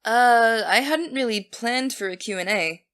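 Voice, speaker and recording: A woman's voice: a little high, unhurried, clear, resonant tone. A woman playing an earnest teen podcast host. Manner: earnest, steady, businesslike. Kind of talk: scripted audio drama.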